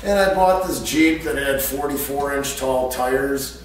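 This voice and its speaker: An American man casually telling a personal anecdote in an animated, everyday storytelling way. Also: medium pitch, unhurried. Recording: echoey room, distant mic, faint hum.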